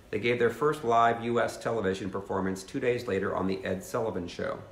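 A man's voice: on the low side, a bit bright, reverberant, slight background noise. Earnest fifties man reading a teaching. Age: 50s. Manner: earnest, reads steadily with asides to viewers. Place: living room at home.

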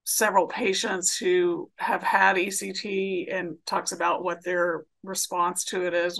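A woman's voice: lowish voice, vocal fry, a bit bright, rough recording. A woman psychiatrist giving a slide presentation over a video call, explaining a treatment in plain clinical terms with some fillers. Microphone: video call webcam.

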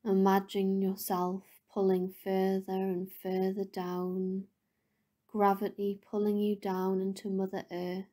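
Female meditation guide: mellow, gentle, breathy at phrase ends, level intonation, long unhurried pauses. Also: mid-range voice, monotone.

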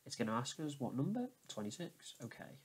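Man, mid-pitched voice, casual tutorial style, informal, step-by-step, repetitive explanations. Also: big pitch swings, fairly quick, breathy edge, rough recording. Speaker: tutorial presenter. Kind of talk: casual screen-recorded how-to walkthrough.

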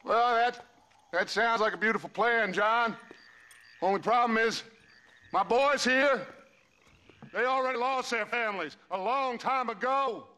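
Game villain: mocking middle-aged gang boss, slow, forceful, high-pitched delivery. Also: male voice, full character voice. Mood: mocking, menacing. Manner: measured, hesitant, sardonic. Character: middle-aged outlaw gang leader, mocking, idealistic, theatrical. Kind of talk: dramatic video game acting.

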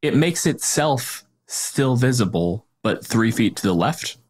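A man's voice: medium pitch, lively intonation, unhurried, husky. A man chatting casually as a podcast host, explaining things in a conversational way. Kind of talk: casual tabletop game discussion.

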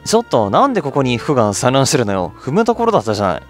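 An aloof, bookish woman from a visual novel game, as a man acts her out. She is prickly, curt and ladylike, with varied intonation. Quiet music runs behind her. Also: medium pitch, huge pitch swings, racing. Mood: annoyed.

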